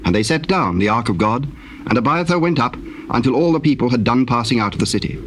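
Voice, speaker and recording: A man's voice: mid-range voice, lively intonation. Solemn British male scripture narrator. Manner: formal, measured storytelling. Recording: noisy old cassette tape with ambience.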